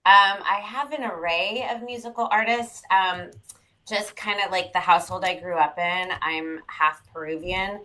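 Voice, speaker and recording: Woman, former pop singer, bright tense voice, lowish pitch, halting casual talk. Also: low-quality recording. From California. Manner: hesitant, many fillers. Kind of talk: casual interview chat.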